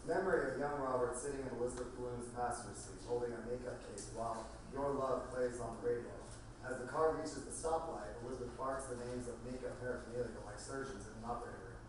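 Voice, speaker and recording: A man's voice: medium pitch, quick pace. A man narrating screenplay directions from a script at a table read. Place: echoey room, table read. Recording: very echoey room, distant mic, noisy.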